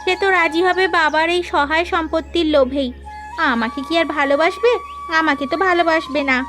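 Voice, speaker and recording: Young daughter female performer for animated folk tale, high pitch, wistful and dutiful, plaintive, music bed. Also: moderate pace. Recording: music behind the voice.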